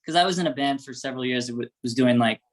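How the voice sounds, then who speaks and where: A man's voice: mid-range voice, very fast. A man with an American accent chatting casually as an interview guest, rambling through stories with lots of filler "like". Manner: rambling, peppered with "like". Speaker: band member, interview guest. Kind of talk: casual chat.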